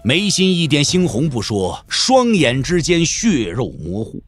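A man delivers dramatic scripted narration for a wuxia audio drama. His voice sits a little high and moves widely in pitch as he tells the story. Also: sing-song, unhurried, faint music behind.

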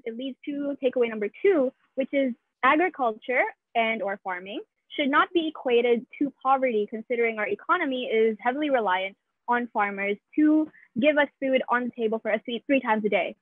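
A woman's voice: on the high side, dry recording. Young woman over a tinny call line. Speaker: team member. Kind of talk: listing takeaways on a call.

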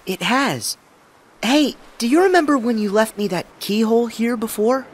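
A man's voice: extremely high pitch, expressive, normal speed. A man playing a plucky, stubborn young boy hero in a game cutscene, earnest, eager and optimistic. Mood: friendly, earnest. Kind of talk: video game cutscene acting.

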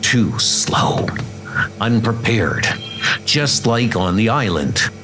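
A man's voice: on the low side, lively intonation, slow, music in the background. A man voicing a weary, menacing, ageless assassin who speaks tersely and broodingly in a comic dub. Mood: grim, strained.

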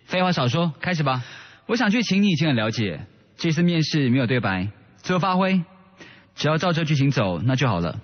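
A man's voice: a little high, sing-song, a little fast. A man playing a brusque, impatient stage director at auditions in a radio drama. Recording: muffled, long reverberant hall.

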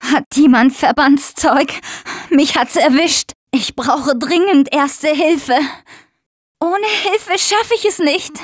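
Tough young game heroine in a zombie apocalypse, high voice, dry close sound. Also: female voice, slow pace, no room sound. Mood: hurt, worn down. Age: young adult. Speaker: video game voice actress. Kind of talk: dubbed video game voice clips.

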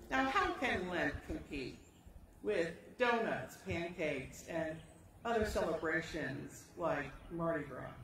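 An older American woman pastor giving a sermon in a conversational, wryly humorous way, outdoors at a lectern. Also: mid-range voice. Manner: wry, dryly humorous. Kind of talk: sermon, read with conversational asides. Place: outdoors at a lectern outside a church. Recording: very echoey, distant, clearly noisy outdoor recording.

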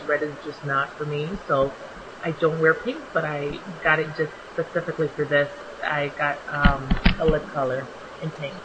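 A young woman narrates a home makeup tutorial in a relaxed, chatty voice-over, her voice a little raspy because she has just woken up. She fills pauses with ums as she goes. A clear hiss of noise runs under the home recording. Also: sits low, lo-fi sound. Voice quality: slightly raspy. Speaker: beauty vlogger. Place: small home bathroom.

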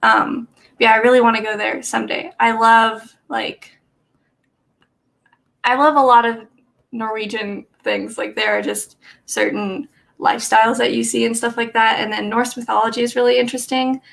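A woman's voice: on the high side, little pitch movement, normal speed, creaky, fairly bright tone. A young woman chatting casually on a livestream from a small bedroom, rambling with lots of fillers and nervous laughs, picked up by a desk webcam. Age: young adult. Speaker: livestream host. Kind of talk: casual chat with live chat readers. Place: small bedroom with bookshelf, indoors.

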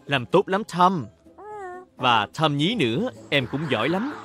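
A man's voice: fairly high pitch, very animated, measured pace. A man narrating a children's cartoon in speech, talking to the characters like a storyteller for young children. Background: music.

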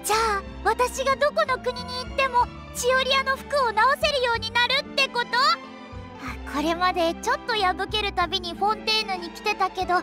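A woman's voice: very high-pitched, a little fast, loud music behind the voice. An actress voicing a sweet, earnest young cat-spirit girl with a cute, guileless manner in a Japanese anime-style game. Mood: wide-eyed, sheepish.

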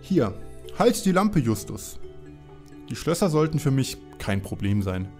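A man playing a jittery, reluctant young detective sidekick in a parody audio drama, anxious and excitable. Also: medium pitch, unhurried, soft background music. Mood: eager.

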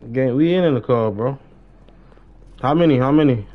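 A man's voice: mid-range voice, normal speed. A young man chatting casually on a gaming livestream in slangy, profane street talk. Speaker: game livestreamer. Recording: dull lo-fi mic with noise.

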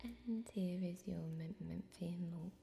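A young woman voicing a friendly, bustling tavern server in an ASMR fantasy role-play, soft, breathy and folksy. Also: on the low side, slow, a little hiss or hum. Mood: welcoming. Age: young adult. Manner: chatty, folksy, eager to please.

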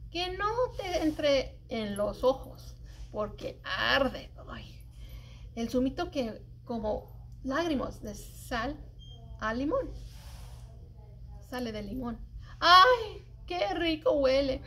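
Middle aged lady reading a kids poem out loud, slow, high voice, really expressive and singsong. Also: a little hiss or hum. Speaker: librarian, story-time reader. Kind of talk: animated read-aloud of a children's cooking poem. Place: indoors, public library children's area.

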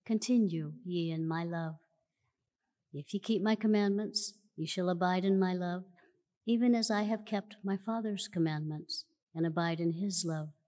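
A woman reading scripture aloud at a church lectern in a measured, solemn way, recorded in a large church auditorium. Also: fairly low pitch, mellow. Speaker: church reader. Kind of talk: slow formal scripture reading. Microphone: lectern mic from a far camera. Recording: long church hall reverb.

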